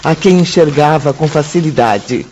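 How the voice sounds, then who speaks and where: A man's voice: on the high side, animated, normal speed, darkish tone, slight background noise. A Brazilian man reading scripted dramatic narration for a radio drama. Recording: dull lo-fi audio over music.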